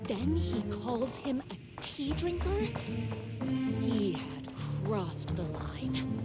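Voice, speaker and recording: Casting: starry-eyed, cheerfully violent game heroine, high-pitched, gushing and melodramatic, over loud music. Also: female voice. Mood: earnest narration. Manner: breathlessly earnest, melodramatic. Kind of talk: campy video game dialogue and narration.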